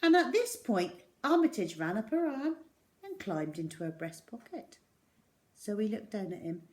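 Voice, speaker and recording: Woman doing storytime for kids, takes it slow, super animated up and down voice, dramatic pauses. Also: mid-range voice. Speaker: children's story reader. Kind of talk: expressive storybook reading aloud.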